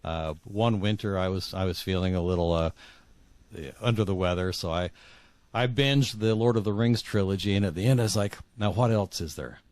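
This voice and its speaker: A middle-aged man with a low voice, recorded dry and close. He talks as an interview guest reflecting on his own life, halting and repeating words for emphasis. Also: darkish tone, no room sound. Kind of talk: reflective personal storytelling in an interview.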